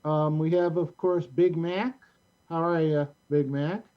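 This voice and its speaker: A show host speaking haltingly in a casual welcome, introducing guests over a dull, lo-fi video call. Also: medium pitch, steady tone, clear, resonant tone.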